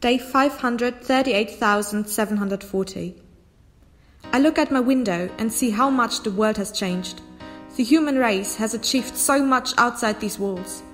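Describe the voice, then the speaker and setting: A woman's voice: on the high side, a little slow, soft background music, lightly acted. An actress playing a weary, wistful prisoner reading her diary aloud in an audio drama.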